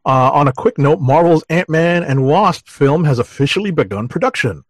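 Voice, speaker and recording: A man hosting a podcast, chatting casually through news items with halting, measured phrasing. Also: on the high side, lively intonation, dry recording, studio quality. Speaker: podcast host. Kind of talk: casual comic book news chat.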